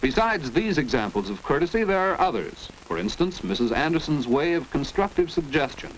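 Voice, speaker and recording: A man's voice: on the high side, big pitch swings, brisk. A man with an American accent narrating a 1940s instructional film in a precise, didactic manner. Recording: noisy 1940s educational film soundtrack.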